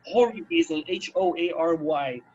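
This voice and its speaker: Male radio host, fairly high voice, explanatory casual talk, muffled sound. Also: moderate pace, a little mellow, a little hiss or hum. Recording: dull, muffled band-limited sound.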